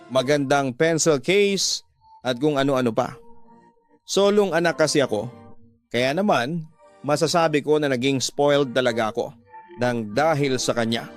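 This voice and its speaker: A man with a Filipino accent giving scripted first-person narration for a radio drama. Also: on the high side. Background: background music.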